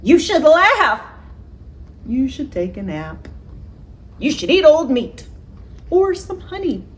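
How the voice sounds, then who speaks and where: A chirpy, well-meaning jungle creature from a picture book, put on by a woman reader. The voice is high and sing-song, lilting widely as it urges cheer. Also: big pitch swings, slow pace, heavily acted. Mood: encouraging.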